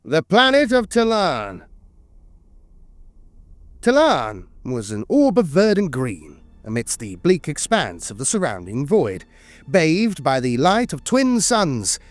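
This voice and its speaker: A man reading scripted lore narration in a solemn, measured storytelling manner with deliberate dramatic pauses. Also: on the high side, wildly swooping pitch, dry recording. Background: background music.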